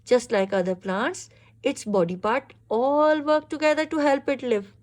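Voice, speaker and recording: A woman reads a children's picture book aloud in an expressive, instructive way, pausing to ask questions. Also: sits high. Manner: expressive, instructive, exclaims and checks understanding. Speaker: reader teaching a young child.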